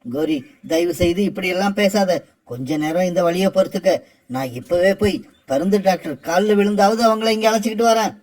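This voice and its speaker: A loving cartoon crow husband with a high male voice, gently soothing his wife and fretting over her, voiced by a man. He pleads softly and earnestly. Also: a little fast, lightly acted. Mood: alarmed. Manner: gentle, anxious, consoling. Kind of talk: cartoon voice acting.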